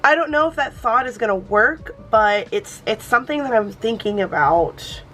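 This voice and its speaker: A woman's voice: fairly high pitch, expressive, moderate pace, a bit bright, slight background noise. A young woman hosting a planner channel, chatting casually and thinking aloud as she crafts, her voice a bit off from a cold. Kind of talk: casual plan-with-me chat.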